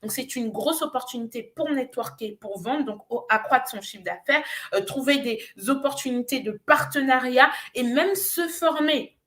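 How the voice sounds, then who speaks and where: Earnest Nigerian-born woman coach, higher voice. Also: normal speed, fairly bright tone. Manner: earnest, persuasive, with frequent hesitations. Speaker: business coach. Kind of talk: promotional pitch on a live show.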